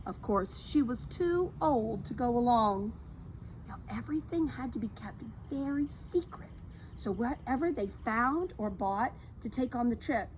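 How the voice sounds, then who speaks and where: High, animated woman reading a children's story. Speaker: storytime reader. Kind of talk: animated read-aloud of a children's book. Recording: slightly dull audio, faint background noise.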